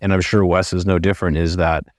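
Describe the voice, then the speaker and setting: A man co-hosting a real estate podcast, talking quickly and agreeably. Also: low voice, little pitch movement, no room sound, studio quality. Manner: quick, agreeable, jumps in with affirmations. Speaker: podcast co-host. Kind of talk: casual podcast chat.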